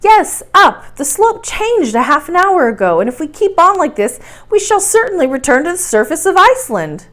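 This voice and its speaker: Woman as emphatic young explorer, high-pitched. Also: very animated, moderate pace, few pauses, fairly bright tone, full character voice. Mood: insistent. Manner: protesting, emphatic. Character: earnest, weary young male explorer, the professor's nephew. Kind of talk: storybook character voice.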